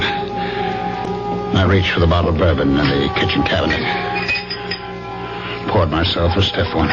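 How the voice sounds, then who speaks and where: An actor playing a brooding, suspicious, despondent husband who tells his own story in a deliberate, dramatic way in a 1970s radio mystery. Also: sits low. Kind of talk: 1970s radio mystery drama acting, first-person narration. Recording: dull 1970s radio recording, music underneath.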